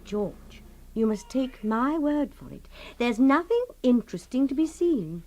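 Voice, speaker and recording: A woman's voice: sits high, big pitch swings, measured pace, a little mellow. An actress playing a practical, long-suffering wife who placates her dramatic husband with strained patience in comic radio play style. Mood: exasperated. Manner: patient but exasperated, placating. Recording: noisy radio drama recording.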